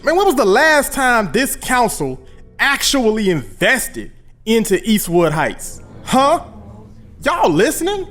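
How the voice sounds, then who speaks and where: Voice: mid-range voice, sing-song, takes it slowly, fairly bright tone, faint music behind. An actor playing an outraged, defiant housing project resident, confrontational and indignant, in an audio drama.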